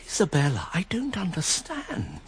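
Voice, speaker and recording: An actor playing an earnest, troubled gentleman in a radio drama, questioning with concern and hesitation. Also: high voice, wildly swooping pitch, normal speed, husky, exaggerated voice. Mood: bewildered, concerned.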